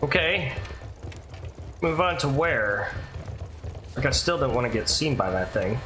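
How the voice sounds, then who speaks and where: Bright-voiced, slow-paced man gaming in a roomy space. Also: medium pitch, lively intonation. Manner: thinking aloud, questioning. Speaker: gamer commentating on own playthrough. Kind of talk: casual let's play commentary. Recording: roomy echo, faint background noise.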